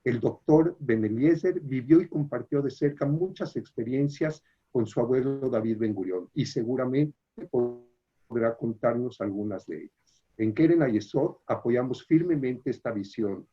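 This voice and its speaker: A man with a husky, slightly breathy voice moderates an online talk. He reads formally and steadily, and his audio is dull and lo-fi, like a video call. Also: mid-range voice, animated, dark tone. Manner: formal, measured reading with hesitant fillers when improvising. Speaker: webinar moderator. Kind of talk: reading an introduction, then moderating questions.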